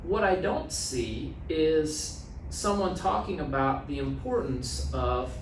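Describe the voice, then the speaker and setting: A man's voice: fairly high pitch, animated, slow pace, distant mic. An American man in his thirties, plain-spoken, repeating phrases for emphasis in a casual podcast conversation. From small town in the USA. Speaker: guest health practitioner. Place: small office meeting room. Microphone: podcast mic on boom arm. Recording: roomy, off-mic sound, faint noise.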